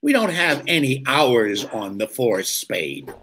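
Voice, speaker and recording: An actor playing a suspicious police lieutenant who questions bluntly and insistently, in 1940s radio drama style. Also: male voice, mid-range voice, sing-song, takes it slowly, a bit bright, breathy edge, full character voice. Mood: demanding.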